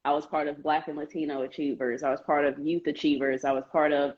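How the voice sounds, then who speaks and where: A young woman with a notably low voice, speaking as an interview guest. She tells her story earnestly and fills her pauses with "um" and "you know." Her audio is dull and lo-fi, as if coming through a video call. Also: little pitch movement. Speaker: guest, Air Force member. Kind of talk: casual interview chat.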